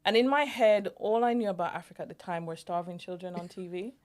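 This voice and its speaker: Woman on a podcast, lowish voice, reflective storytelling, does the voices of people she remembers. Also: quick pace, darkish tone. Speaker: podcast co-host. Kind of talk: casual storytelling chat.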